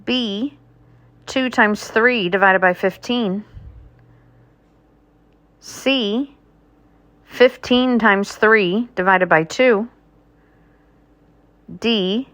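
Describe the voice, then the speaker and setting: A woman teacher reading math test questions aloud, deliberately, item by item. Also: mid-range voice, a bit bright, no room sound.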